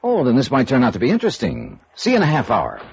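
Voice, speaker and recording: A persistent private investigator, American, dry understatement, lively swinging pitch, old radio noir, dull vintage recording. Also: male voice, quick pace, vocal fry, over loud music. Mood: smoothly persistent. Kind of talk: 1950s radio noir acting.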